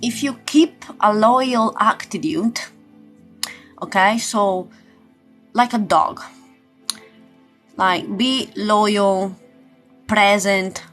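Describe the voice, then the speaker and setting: Woman, livestream card reader, very slow pace, casual sweary chat, frequent pauses, music playing behind. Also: mid-range voice, fairly bright tone. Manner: unhurried, informal, sweary, pausing. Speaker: livestream host and tarot reader.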